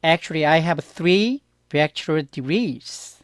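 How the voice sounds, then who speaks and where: Middle-aged korean guy teaching beginners in english, korean accent, super slow careful delivery, dry close sound. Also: fairly high pitch, animated, no room sound. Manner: slow and careful, stressing key words, asking learners questions. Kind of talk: beginner language lesson.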